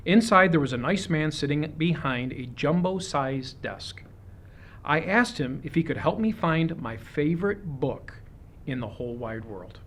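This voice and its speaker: Higher-voiced man reading a children's storybook. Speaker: storybook reader. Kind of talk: read-aloud of a children's picture book.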